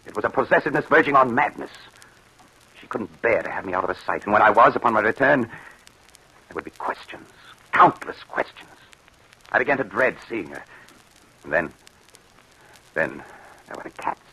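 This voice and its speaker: An actor playing a tormented, regretful husband in an old radio thriller, anguished and dramatic, with a husky, halting delivery. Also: male voice, lowish voice, animated, sharp tone, full character voice, rough recording. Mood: haunted. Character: haunted, regretful husband tormented by his jealous wife.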